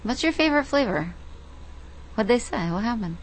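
A woman's voice: on the high side, big pitch swings, normal speed, a little hiss or hum. A woman co-hosting a radio show, easygoing and asking the callers questions. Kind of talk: casual radio chat.

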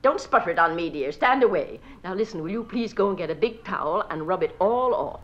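A woman's voice: fairly low pitch, animated, a little fast, natural voice. Woman doing a gushy gossipy society mum who keeps scolding the kids, muffled old lo-fi sound. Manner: gushing, gossipy, scolding the children between sentences. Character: chattering fussy society mother, gossipy, bossy with her children. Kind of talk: solo stage character monologue.

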